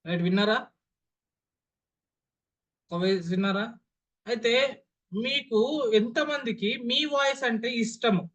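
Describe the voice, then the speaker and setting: A man's voice: sits high, lively intonation, a little slow, long pauses, a bit bright. A man leading an online voice modulation class over a video call, who keeps putting questions to his listeners and repeating them. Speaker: voice modulation trainer. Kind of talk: interactive online class talk.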